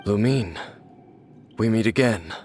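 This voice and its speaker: Stoic cursed warrior, fairly deep, solemn, brooding, deliberate, light music underneath. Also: male voice, a little mellow. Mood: grim. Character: stoic, brooding male warrior, cursed immortal former royal guard. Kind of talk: anime game dramatic acting.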